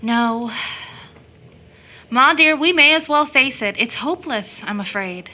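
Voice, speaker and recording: A woman's voice: a little high, expressive, a little slow, bright, forceful tone. A woman playing an earnest, affectionate but troubled young daughter in an audio drama. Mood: resigned.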